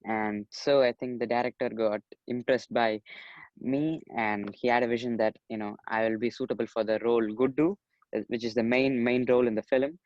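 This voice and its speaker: A man's voice: mid-range voice, fairly even intonation, normal speed, darkish tone. A polite, earnest young man from Chennai, still in his teens, talking through a muffled, dry recording with no room echo. He keeps dropping in "you know" as he recounts his story. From Chennai, India. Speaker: young actor and musician, interview guest. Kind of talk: casual interview.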